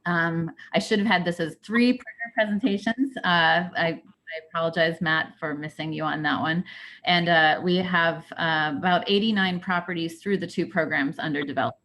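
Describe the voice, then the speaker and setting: A woman's voice: fairly low pitch, fairly bright tone. Hesitant woman giving a meeting report. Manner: halting, with frequent fillers and restarts. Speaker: executive director giving a report. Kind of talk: formal board meeting report.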